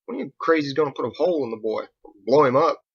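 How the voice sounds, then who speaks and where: A man voicing a folksy, wary, gruffly protective sailor leader in an audiobook, talking in down-home slang. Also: mid-range voice, animated, racing, muffled recording. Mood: alarmed, exasperated.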